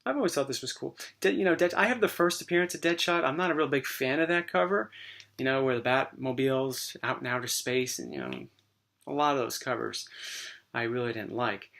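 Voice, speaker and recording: A man with a voice worn dry and hoarse by a recent cold. He talks in a loose, easygoing way, full of ums and restarts. The tone is casual show-and-tell. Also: mid-range voice. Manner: relaxed, rambling, many fillers. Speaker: comic book collector vlogger.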